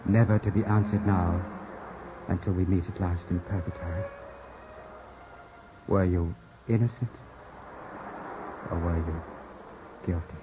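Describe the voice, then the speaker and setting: An actor playing a suspicious, tormented young gentleman, intense and dramatic in 1950s radio drama style. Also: low-pitched, steady tone, a little slow, pauses often. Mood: tormented, brooding. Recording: muffled, hissy old 1950s radio broadcast.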